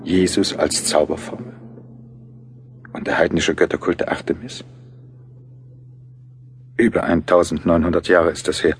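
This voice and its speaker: A serious writer musing aloud in an audio drama. His voice is low and his delivery deliberate and reflective. A faint noise sits behind him. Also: steady tone. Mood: solemn, reflective. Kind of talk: scripted radio play narration.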